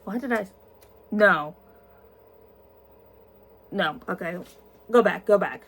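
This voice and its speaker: A woman's voice: mid-range voice, animated, takes it slowly, a bit bright, slightly rough. A woman in her twenties, tired, answering viewer questions in a casual vlog with many ums and restarts. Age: 20s.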